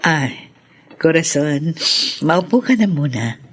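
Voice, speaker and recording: An actress playing a consoling friend who urges hope in a Filipino radio drama. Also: female voice, deep voice, lively intonation, slow pace, slightly rough, faint music behind, exaggerated voice.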